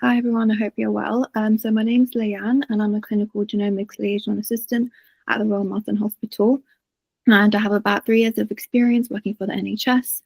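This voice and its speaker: Brief: polite, level-toned panelist, husky and dark, dry video-call audio. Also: female voice, medium pitch, fairly quick, no echo. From Iraq, raised in the UK. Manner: polite, steady self-introduction. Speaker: hospital worker, panelist.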